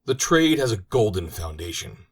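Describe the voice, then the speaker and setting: A man's voice: on the low side, sing-song, normal speed, slightly rough, exaggerated voice. A man voicing a merry, sly scissors grinder in a storybook tale, persuasive and expansive as he talks. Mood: curious. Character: merry, sly male scissors grinder peddling his trade.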